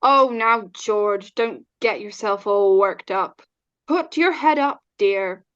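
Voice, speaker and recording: An actress playing a calm, reassuring middle-aged wife and mother in an old-time radio drama. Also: mid-range voice, takes it slowly, clear, resonant tone. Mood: soothing, brisk. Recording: dull, muffled online recording.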